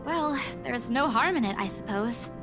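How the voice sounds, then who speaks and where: A woman's voice: a little high, animated, moderate pace. An actress voicing a shaken but stubborn young woman who teases back in a visual novel game. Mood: annoyed teasing. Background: game music.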